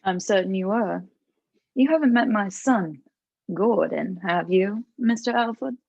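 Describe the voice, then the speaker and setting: Woman acting haughty conniving older mother, comedy table read, gravelly fry, silky menace, dry lo-fi sound. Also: medium pitch, unhurried, darkish tone, no echo. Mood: polite menace. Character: arrogant aristocratic sixty-ish mother, steely, conniving, falsely polite.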